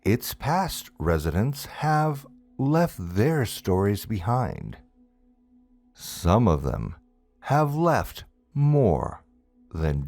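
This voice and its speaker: A man narrates a scripted ghost story as a storyteller, in a deliberate manner with dramatic pauses. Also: on the low side, a little mellow, dry recording. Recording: music bed behind the voice. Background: background music.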